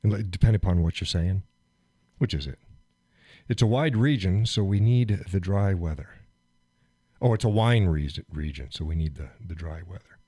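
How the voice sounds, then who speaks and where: A man hosting a live stream, chatting casually and rambling with fillers and chuckles, phlegmy and clearing his throat. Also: low voice, normal speed, warm tone, no room sound. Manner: rambling, chuckling, thinks aloud with many fillers. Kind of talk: casual chat reading viewer comments.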